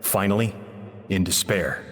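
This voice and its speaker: An actor playing a weary, regretful man who tells his story in a measured, somber, reflective way in a video game. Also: low voice. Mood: grieving. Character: regretful, weary man haunted by a lost friend. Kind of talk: dramatic voice-over monologue, video game. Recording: long echoing reverb, music underneath.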